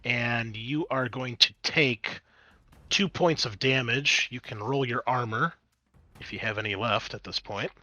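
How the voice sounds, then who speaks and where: A man's voice: medium pitch, measured pace, pushed and bright, no echo. A man running a tabletop roleplaying game as game master, switching between conversational rules talk and dramatic scene description.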